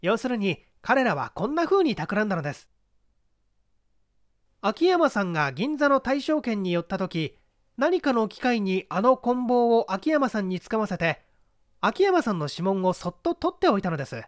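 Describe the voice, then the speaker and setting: Narrator playing a lively, confident boy detective. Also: medium pitch, normal speed, dry recording, clean studio sound, lightly acted. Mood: confident, explaining. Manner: assured, methodical deduction. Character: confident clever schoolboy detective explaining a crime. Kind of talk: audiobook character reading.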